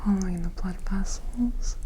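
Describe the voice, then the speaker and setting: Softly whispering woman, mellow ASMR examiner. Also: mid-range voice, normal speed, slight background noise. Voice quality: soft, breathy whisper. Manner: gentle, reassuring instructions and narration of each step. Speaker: ASMR creator playing a medical examiner. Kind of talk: ASMR medical roleplay.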